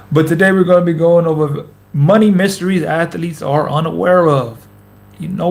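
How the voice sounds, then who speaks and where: A man's voice: on the high side, creaky, a little hiss or hum. A man in his thirties hosting a casual motivational vlog into a camera mic, talking emphatically with lots of repetition and slang. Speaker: host, former pro basketball player.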